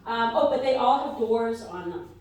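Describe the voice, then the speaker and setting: A woman's voice: fairly high pitch, lively intonation, moderate pace, a little mellow. A woman performing scripted comedy banter to a live audience, announcing in a mock-official way. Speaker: comedy radio show performer and co-host. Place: live stage in a hall. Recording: very echoey hall, distant mic, faint noise.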